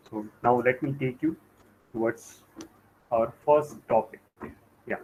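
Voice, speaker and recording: A man from India leads a live online class, explaining each point slowly and pausing often on fillers. His mid-pitched voice arrives a little muffled, as if through a video call. A faint hiss sits under it. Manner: slow, halting delivery with frequent "uh" fillers. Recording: dull lo-fi call audio with faint noise.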